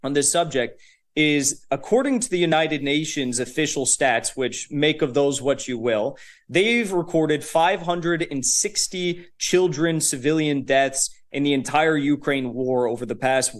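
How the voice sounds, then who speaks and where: A young man appearing as a guest commentator, talking in a casual, emphatic, fast-flowing way with fillers. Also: a little high. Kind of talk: political commentary.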